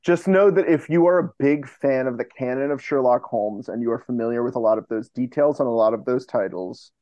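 A man chatting informally and a little haltingly as a guest in a casual podcast interview. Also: medium pitch, dry recording, lo-fi sound. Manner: informal, halting, hedging with many "like"s. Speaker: podcast guest, co-creator of a fiction podcast.

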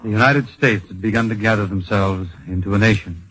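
An actor playing a solemn, compassionate narrator, grave and measured, in a scripted old-time radio drama. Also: male voice, lowish voice, fairly even intonation, fairly bright tone, slightly rough, no room sound, poor audio. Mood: stirring, patriotic. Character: solemn storyteller, compassionate and patriotic.

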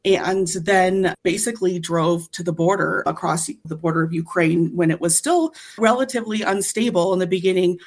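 A woman's voice: on the low side, normal speed, hardly any pauses. A woman speaking as an interview guest, explaining volunteer work in an earnest, conversational way.

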